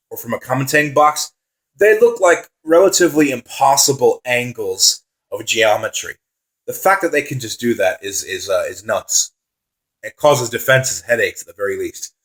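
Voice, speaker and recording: A young man talks through football results in a loose solo vlog. His voice has gone a bit dry and raspy from talking at length. He speaks with eager enthusiasm, often repeating a phrase to stress a point, at an ordinary male pitch. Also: animated, moderate pace, a bit bright, low-quality recording. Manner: enthusiastic, repeats himself for emphasis. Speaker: football vlogger. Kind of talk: casual solo reaction commentary.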